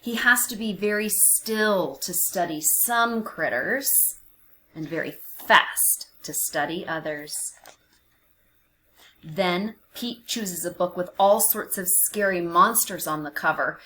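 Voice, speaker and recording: A woman narrating a picture book for young children, expressive and deliberate, with dramatic emphasis and hushed phrases. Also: fairly low pitch. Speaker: children's read-aloud narrator.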